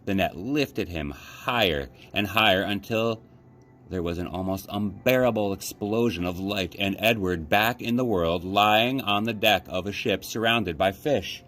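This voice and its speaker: A man reading a children's novel aloud as a library storyteller, with measured, dramatic phrasing. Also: lowish voice, fairly bright tone. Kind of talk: children's audiobook-style read-aloud. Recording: clear background noise.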